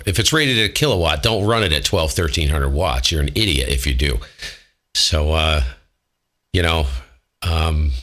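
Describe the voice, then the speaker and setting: A man's voice: low-pitched, fairly bright tone. A man chatting casually as an amateur radio operator, in a blunt, slangy way. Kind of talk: casual ham radio chat.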